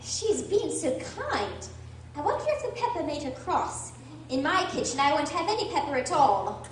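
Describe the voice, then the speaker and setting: A woman's voice: high-pitched, sing-song, normal speed. A woman playing a polite, curious, earnest young girl in a radio drama. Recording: roomy distant recording, background noise.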